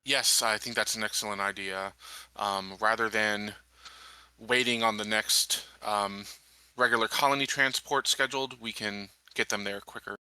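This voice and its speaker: A man's voice: fairly low pitch, steady tone, moderate pace, sharp tone, clean tone, no echo, low-quality recording. A man playing a tabletop roleplaying game, talking casually and a little hesitantly as he works out plans.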